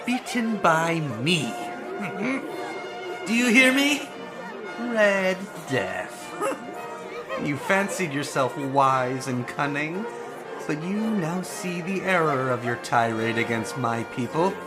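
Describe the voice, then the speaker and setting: An actor playing a haughty, exuberant, self-deluded prince in a theatrical, declamatory audio drama style. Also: male voice, on the high side, animated, takes it slowly, music in the background. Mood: gloating. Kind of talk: full-cast audio drama acting.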